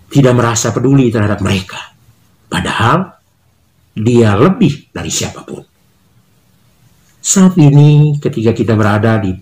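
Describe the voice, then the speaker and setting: Earnest middle-aged priest giving a reflection. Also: male voice, mid-range voice. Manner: measured, earnest, reads in steady phrases. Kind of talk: spoken reflection, sermon-like. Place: small indoor office with bookshelves.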